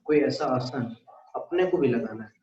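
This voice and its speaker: A man with an Indian accent leading a guided meditation, speaking calmly and deliberately with pauses. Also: mid-range voice. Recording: echoey room, dull muffled sound.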